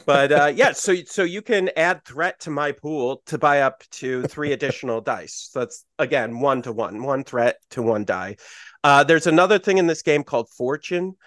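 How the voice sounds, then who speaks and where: A man's voice: fairly high pitch, normal speed, a bit bright, dry recording. Dry-sounding male game master. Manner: loose, self-correcting explanation with many fillers. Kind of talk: explaining game rules on a podcast.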